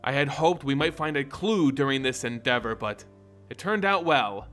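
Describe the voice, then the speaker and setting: A man voicing a grave, weary wizard in a fantasy story, speaking in a solemn, measured manner. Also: medium pitch, a bit bright, faint music behind, close to an everyday voice. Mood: grave warning. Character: grave, weary male wizard warning an ally. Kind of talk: dramatic fantasy story narration dialogue.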